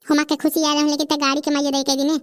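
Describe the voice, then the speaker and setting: A woman's voice: high-pitched, completely flat delivery, very fast, close to an everyday voice. A woman voicing a chatty, teasing village character in a comedy cartoon, with a Bundeli accent.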